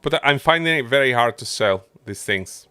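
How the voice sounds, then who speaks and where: A man's voice: medium pitch, animated, normal speed, pushed and bright, smooth, no echo. A man doing casual livestream game commentary, muttering his running thoughts to himself as he plays. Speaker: game streamer.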